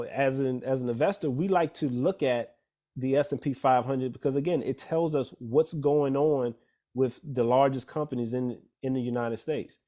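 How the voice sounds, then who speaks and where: A man at a middle pitch walks listeners through investing basics in a relaxed, dark-toned voice. He is a financial advisor presenting slides. He keeps checking in with his audience as he explains. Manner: conversational, many fillers and "all right?" checks. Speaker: financial advisor giving a talk. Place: church event room.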